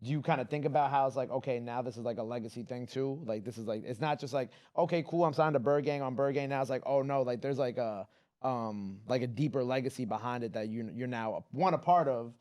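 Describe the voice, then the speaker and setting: A man's voice: mid-range voice, darkish tone. A man in his twenties hosting an interview, asking long rambling questions full of fillers in a casual tone. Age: 20s. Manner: rambling questions full of "like", quick to laugh along. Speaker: interview host.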